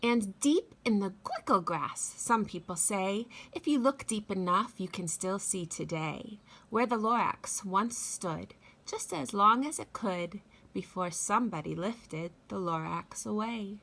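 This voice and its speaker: A middle-aged woman talking and reading aloud to young children in an expressive teacher's manner. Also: mid-range voice, a little slow, gravelly, poor audio. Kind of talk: children's read-aloud with chatty introduction. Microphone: phone at arm's length.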